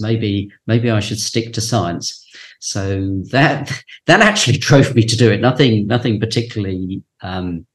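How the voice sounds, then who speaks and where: Interview guest: man, southern English accent, lower register, academic talking conversationally. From southern England. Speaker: interview guest, university professor.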